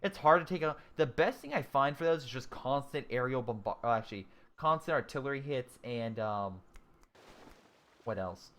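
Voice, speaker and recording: A young man in his twenties casually commentating a strategy game he is playing, thinking out loud with many ums and restarts while answering viewers' chat. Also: medium pitch, expressive, normal speed. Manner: thinking aloud, frequent ums and self-corrections. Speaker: gaming YouTuber. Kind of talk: casual let's-play commentary, reading chat.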